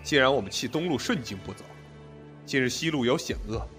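Casting: male actor for a proud sect master, mid-pitched, formal and imperious, audio drama with music behind. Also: expressive, brisk, natural voice. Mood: commanding. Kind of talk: period audio drama acting.

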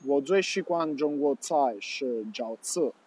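Slow-speaking young Italian man. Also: fairly high pitch, expressive, a little mellow. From Italy. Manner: slow, simple learner phrasing. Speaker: language student. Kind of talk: casual self-introduction in learner Chinese. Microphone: phone at arm's length.

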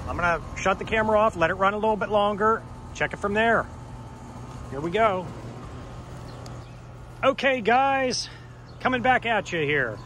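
High-voiced man explaining repairs outdoors. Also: expressive, normal speed, long pauses, fairly bright tone, slight background noise. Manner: explains step by step, keeps checking in with "okay?". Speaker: HVAC technician. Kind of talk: hands-on how-to explanation. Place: outdoors by an air conditioning unit in a yard. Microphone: camera mic, handheld or on a tripod.

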